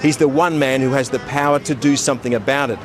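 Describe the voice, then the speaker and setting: A man giving a deliberate, critical political commentary. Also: mid-range voice, fairly even intonation, soft background music. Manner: grave, deliberate critical analysis. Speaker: political commentator in a radio clip. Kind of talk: measured spoken commentary.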